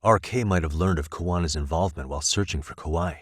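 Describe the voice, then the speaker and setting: A man in his thirties voicing a calm, analytical private detective in a video game, speaking in a measured, conversational way. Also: low-pitched, slightly rough, no room sound, clean studio sound. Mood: pensive, focused. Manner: measured, methodical, reasoning aloud. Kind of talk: English video game voice acting, conversational dialogue.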